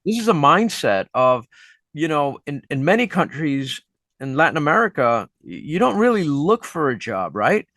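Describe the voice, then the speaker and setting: A man's voice: a little high, very animated, normal speed, fairly bright tone, no room sound, studio quality. Chatty middle-aged male interviewer. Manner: chatty, reflective, many fillers. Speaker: host and teacher. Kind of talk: interview questions and comments.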